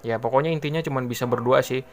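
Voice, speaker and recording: A man's voice: medium pitch, fast. A man gives a casual, unscripted product explanation, rambling and repeating himself with informal filler tags. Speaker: product reviewer and online seller. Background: background music.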